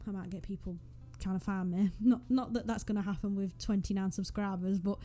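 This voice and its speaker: A young woman with a Northwest English accent talks into a desk mic in a mellow, warm tone. She chats in a loose, rambling way with self-deprecating asides, at a mid pitch. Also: steady tone, a little fast. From northwest England. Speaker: Sims YouTuber. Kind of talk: casual voice-over chat over a speed build. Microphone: USB desk microphone.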